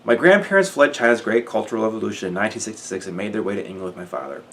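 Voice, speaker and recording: A man's voice: fairly low pitch, lively intonation, rapid-fire, fairly bright tone, slight background noise. A man voicing a cocky male special forces operator raised in Wales, speaking bluntly and matter-of-factly. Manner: blunt, matter-of-fact telling.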